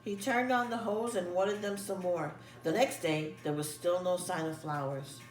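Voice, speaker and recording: A woman reading a children's story aloud in an expressive, animated way, pausing as if to young listeners. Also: on the low side. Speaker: storybook reader. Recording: echoey room, distant mic, music behind.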